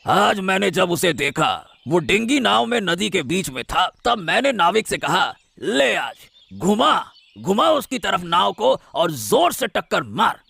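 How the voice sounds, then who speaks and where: A man voicing an arrogant, rich boat-owning male villain in a cartoon, with a gravelly, bullying voice. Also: sits high, big pitch swings, unhurried, pushed and bright, dry recording, exaggerated voice. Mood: gloating.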